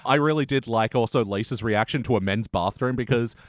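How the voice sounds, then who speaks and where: A man's voice: medium pitch, fairly quick, no room sound, studio quality. An Australian man hosting a casual comedy review chat, informal, joking and given to swearing. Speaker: podcast host.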